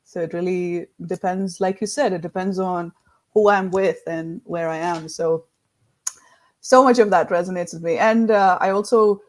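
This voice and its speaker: A woman's voice: on the low side, steady tone, normal speed, darkish tone. A woman from India, hosting an interview podcast in an affirming way and using many fillers. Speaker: podcast host.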